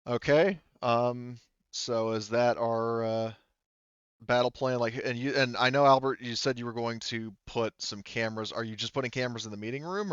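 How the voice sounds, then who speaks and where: A man's voice: lowish voice, smooth, no echo, muffled recording. A man running an online tabletop roleplaying game as its game master.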